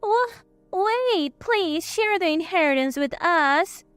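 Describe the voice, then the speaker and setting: A very high-pitched, greedy sister-in-law from a narrated family drama, acted out by a woman. She is whiny and indignant, demanding her share. The sound is dry, with faint music behind her. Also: animated, slow pace, fairly bright tone, dry recording, exaggerated voice. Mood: pleading. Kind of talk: acted dialogue in narrated story.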